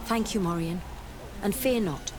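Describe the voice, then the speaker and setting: A woman's voice: medium pitch, measured pace, noisy background, close to an everyday voice. A woman voicing an earnest, reassuring young native woman in a fantasy video game. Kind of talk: video game dialogue acting.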